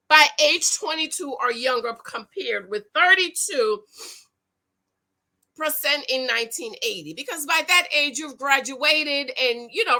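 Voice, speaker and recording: A woman with a high voice that rings bright and sharp. She talks loud and excitable, her voice carrying, and she preaches in an animated way with lots of emphatic repetition. She reads a news story aloud and comments on it as she goes. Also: rough recording. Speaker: YouTube commentator on money and marriage. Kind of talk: casual commentary reading and reacting to a news story.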